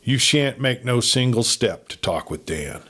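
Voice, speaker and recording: A man's voice: medium pitch, animated, unhurried, bright, forceful tone, slightly rough, exaggerated voice. A man acts out a stoic old cattleman from a western storybook. He is a proud, protective father who talks firmly and sternly. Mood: resolute.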